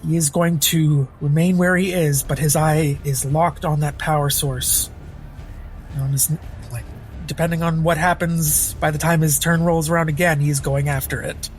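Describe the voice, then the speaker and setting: A man's voice: fairly high pitch, steady tone, moderate pace. A man playing a tabletop roleplaying game, casually narrating his character's actions as he thinks aloud.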